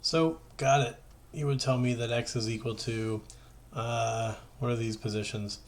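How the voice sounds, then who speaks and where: A man's voice: medium pitch, fairly even intonation, unhurried. A male teacher with a mid-range voice talks through worked math problems in an informal way. He is conversational and thinks aloud, checking and correcting himself as he explains. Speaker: high school math teacher.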